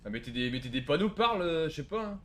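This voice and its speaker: A man's voice: fairly high pitch, big pitch swings, fast, fairly bright tone. A man in casual gaming roleplay banter, talking in a slangy, argumentative way. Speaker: game streamer trolling in a roleplay server.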